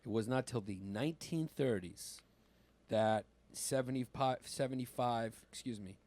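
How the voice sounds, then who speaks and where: A man whose first language was Greek, co-hosting a podcast with a middle-pitched voice. He talks loosely and rambles, tripping over words as he goes. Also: unhurried, a little mellow. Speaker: podcast co-host. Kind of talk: casual comedic podcast chat.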